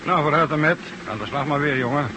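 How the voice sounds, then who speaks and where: A man's voice: fairly high pitch, fast, natural voice. An actor playing a jovial, fatherly boss in a radio drama. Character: jovial, fatherly boss sending his driver to work. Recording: noisy old radio play recording.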